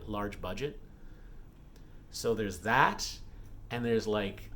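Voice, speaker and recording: A man's voice: on the low side, slight background noise. Rambling man in casual vlog chat. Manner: rambling, thinking aloud, repeats and self-corrects. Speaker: romance author and vlogger. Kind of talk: casual unscripted vlog talk.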